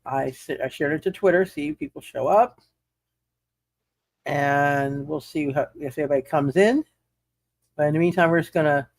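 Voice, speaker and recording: Higher-voiced man hosting, halting speech. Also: creaky, dry recording. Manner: halting, repeats words and restarts often. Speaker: game master and host of a roleplay livestream. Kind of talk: casual livestream chat and recap.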